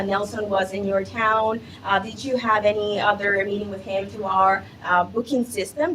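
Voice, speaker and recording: A woman's voice: medium pitch, fairly bright tone, a little hiss or hum, low-quality recording. A woman hosting a live student panel, upbeat and encouraging, sometimes restarting her sentences. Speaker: livestream host.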